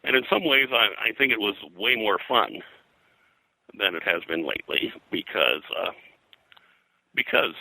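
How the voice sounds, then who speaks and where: An older man with a very low-pitched voice and a hard, bright edge, recorded dry and close. He reminisces in a casual interview in a rambling way, often halting, restarting and repeating phrases. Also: expressive, vocal fry, dry recording, poor audio. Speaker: interview guest, veteran comics production artist.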